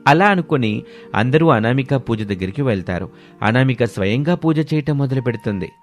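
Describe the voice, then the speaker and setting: A man's voice: medium pitch, animated, normal speed, soft background music. A man reads scripted narration of a moral family story as a storyteller.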